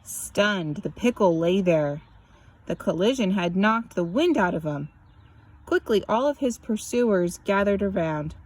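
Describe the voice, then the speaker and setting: Casting: female storyteller, mid-pitched voice, expressive picture-book narration with animated emphasis. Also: measured pace. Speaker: children's story reader.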